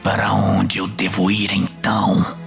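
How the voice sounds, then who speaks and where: A weary orc warlock acted by a man in a fantasy audio drama. He mutters in a breathy rasp, slow and resentful, with stubborn pride. Faint music sits behind him. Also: low-pitched, completely flat delivery, pitched below the performer's own voice, exaggerated voice. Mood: cold.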